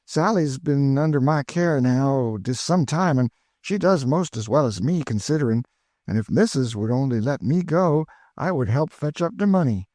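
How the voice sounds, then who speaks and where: A man's voice: mid-range voice, expressive, moderate pace, darkish tone, dry recording, clean studio sound, voice pushed up, lightly acted. A man voicing an eager, chatty older woman cook with an in a storybook reading. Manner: exclaiming, folksy, eager.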